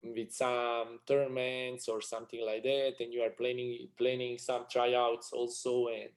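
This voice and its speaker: A man's voice: medium pitch, completely flat delivery, measured pace. A man from Serbia with a Serbian accent, a sports agency owner speaking non-native English in a casual interview, full of restarts and fillers.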